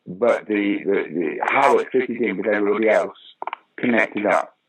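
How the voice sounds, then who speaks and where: A man guest recalling a theatre story in a lively, anecdotal way, with many fillers. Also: fairly low pitch, normal speed, a little hiss or hum, rough recording. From Britain. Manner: animated, acts out quoted lines, frequent fillers. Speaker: interview guest.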